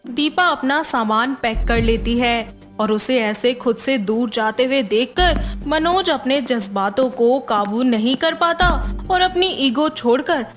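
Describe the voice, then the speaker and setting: A woman narrating a scripted family story in an even, measured way, with soft music underneath. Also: on the high side.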